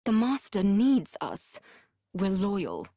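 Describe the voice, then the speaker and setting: A woman's voice: medium pitch, huge pitch swings, slow, dark tone, no echo, studio quality, exaggerated voice. A woman playing a grave, weary vampire servant in a fantasy game, solemn and dramatic in delivery. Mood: wary, insistent. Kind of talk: scripted fantasy game acting.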